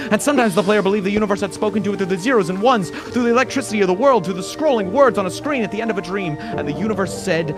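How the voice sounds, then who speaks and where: A man giving a solemn, theatrical reading of a poem in a storytelling style, with deliberate pauses. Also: fairly high pitch. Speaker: dramatic reader. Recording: voice laid over background music. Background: music.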